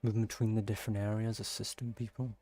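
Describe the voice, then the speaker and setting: A man's voice: on the low side, level tone, quick pace, mellow, no echo. A man giving casual commentary as he streams a game, musing aloud and asking himself questions. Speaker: gaming streamer.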